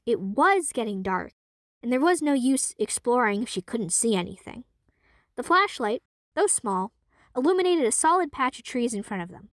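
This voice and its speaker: A woman's voice: fairly high pitch, moderate pace, dry recording, clean studio sound. Young woman narrating an audio drama. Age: young adult. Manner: expressive, lively storytelling. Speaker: narrator and voice actor.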